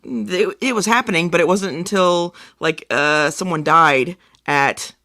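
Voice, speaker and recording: A woman's voice: fairly low pitch, measured pace, sharp tone, no echo, studio quality. A woman co-hosting a podcast, chatting casually in an informal, tentative manner. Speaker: podcast co-host. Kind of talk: casual review chat.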